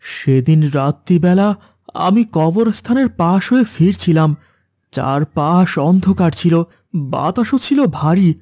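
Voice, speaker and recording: A man's voice: sits high, expressive, measured pace, darkish tone, no room sound, clean studio sound. A man playing an anxious, haunted village van driver in a horror cartoon, stammering when frightened. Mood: subdued, shaken. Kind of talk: dramatic horror cartoon acting.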